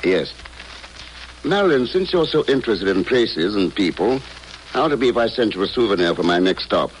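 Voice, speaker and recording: An actor playing a suave, kindly, unflappable secret agent, calm and wry, in 1950s radio drama. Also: male voice, fairly low pitch, brisk. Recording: noisy old 1950 radio broadcast.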